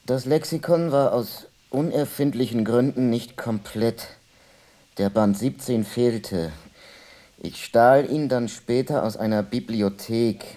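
An actor playing a reflective man who recalls his childhood in a halting, musing way in a radio play. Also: medium pitch, mellow, lightly acted. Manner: halting, musing, self-correcting. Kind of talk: radio play monologue, reminiscing.

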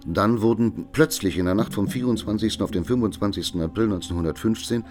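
Man acting as male chronicler, very low pitch, sober measured narration, radio play, music behind. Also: darkish tone, close to an everyday voice. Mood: sober, grave. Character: grave male chronicler recounting history in a documentary radio play. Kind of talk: scripted documentary narration.

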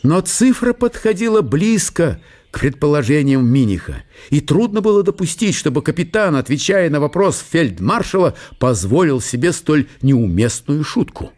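A man's voice: a little high, big pitch swings, normal speed, darkish tone. A man narrating a literary historical radio drama as a scripted storyteller.

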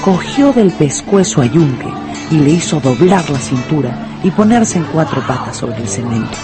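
A woman's voice: deep voice, sing-song, normal speed. Peruvian woman narrating a story over music. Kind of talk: scripted dramatic storytelling narration for radio. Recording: music and schoolyard crowd behind.

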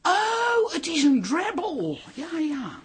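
An actress playing a vain, self-important stage diva with a stammering, theatrical manner in a radio comedy. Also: female voice, extremely high pitch, very animated, slow pace, full character voice. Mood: hesitant, affected. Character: vain middle-aged stage actress between roles, grandly self-important. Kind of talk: Dutch radio comedy drama acting.

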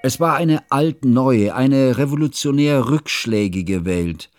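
An actor playing an earnest, troubled scholar who narrates a literary radio drama in a measured, formal, precise way. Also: male voice, medium pitch, expressive, soft background music.

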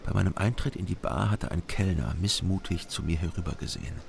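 An actor playing a brooding surveillance agent who narrates a radio crime drama in a measured, sober, observational way. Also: male voice, sits low, vocal fry, background noise. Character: brooding East German surveillance agent narrating his investigation. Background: music.

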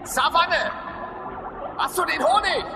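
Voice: very high voice, lively intonation, takes it slowly, vocal fry, long reverb tail, background noise, exaggerated voice. A performer playing a bold, bossy child adventurer in a radio drama, speaking in a brash, urgent way.

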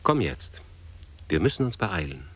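A man's voice: low voice, sing-song, unhurried, a bit bright, breathy edge, heavily acted. An actor in a German radio drama playing an anxious man who presses in clipped, urgent commands. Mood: urgent, pressing. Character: anxious, urgent man hurrying his beloved to flee.

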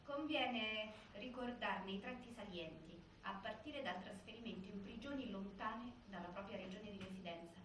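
A woman's voice: medium pitch, moderate pace, hardly any pauses. A woman narrating a scripted documentary theatre piece in a very echoey hall. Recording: very echoey hall, distant, faint noise.

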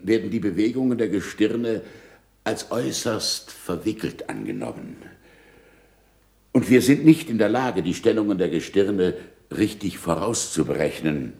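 An actor playing an earnest astronomer in a 1960s German radio play, speaking in a formal, deliberate and emphatic way. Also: male voice, on the low side, creaky, a little mellow, echoey room, heavily acted.

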